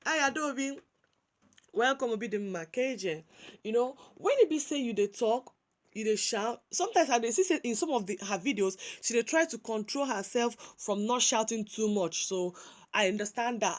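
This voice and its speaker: A Nigerian woman with a high voice, giving animated casual commentary that slides between English and Pidgin, with frequent restarts and repeated words. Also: normal speed. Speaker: commentary vlogger.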